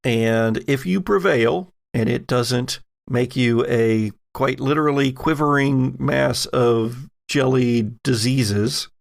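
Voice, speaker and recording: A man with a gravelly voice narrating a tabletop horror game as game master, deliberate and dramatic in his storytelling. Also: medium pitch, no echo, studio quality.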